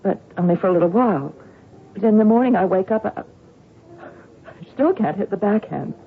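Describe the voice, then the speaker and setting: A woman's voice: mid-range voice, animated, a little fast, slightly rough. An actress playing a despairing, worn-out female tennis champion, sardonic and bitter, in a radio drama. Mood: weary despair. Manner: sardonic, weary, dramatic swings into anguish. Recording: tinny phone-like old radio broadcast, music behind.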